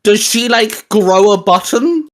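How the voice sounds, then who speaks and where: A man's voice: sits high, lively intonation, slow. A man co-hosting a casual, geeky group discussion, jokey and informal, often probing with questions. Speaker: co-host of a fan discussion show.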